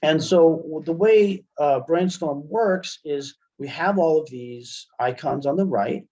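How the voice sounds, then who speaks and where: A middle-aged American man presenting an online software lesson step by step, in an informal, halting way, often checking in with "right?". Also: a little high. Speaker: webinar presenter and instructor. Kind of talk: software tutorial, explaining step by step. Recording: muffled, dull computer-call audio.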